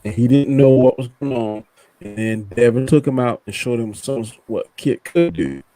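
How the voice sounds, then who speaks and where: Colloquial man in casual chat, mid-pitched voice. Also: animated, moderate pace, mellow, dry recording. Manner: colloquial, acts out characters' lines. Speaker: panelist on a TV review show.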